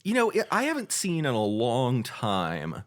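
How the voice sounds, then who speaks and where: A man co-hosting a podcast in casual chat, rambling and halting with many fillers and restarts. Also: medium pitch, huge pitch swings. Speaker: podcast co-host.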